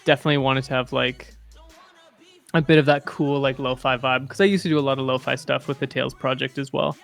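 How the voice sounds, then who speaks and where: A man's voice: medium pitch, steady tone, fast, gravelly, fairly bright tone. A man with a mid-range voice chats casually about his music. He is talkative and easygoing, peppering his speech with "dude" and "like". Faint music sits in the background. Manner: chatty, says "dude" and "like" a lot. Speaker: music producer. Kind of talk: casual chat on a livestream.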